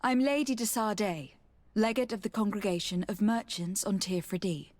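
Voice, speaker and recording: An actress playing a courteous but insistent noblewoman diplomat in a video game, speaking formally and in a measured way. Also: medium pitch, a little mellow, lightly acted. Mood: firm, authoritative. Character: poised, authoritative young noblewoman legate, courteous but insistent. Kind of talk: dramatic video game acting.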